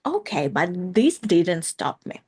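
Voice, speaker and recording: A woman's voice: on the low side, very animated, slow pace, a little mellow, breathy edge. A woman in her thirties from Ukraine with a Ukrainian accent, a guest telling her story in a casual interview, full of fillers and restarts. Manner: many "like" fillers and restarts, non-native grammar. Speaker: guest, company founder.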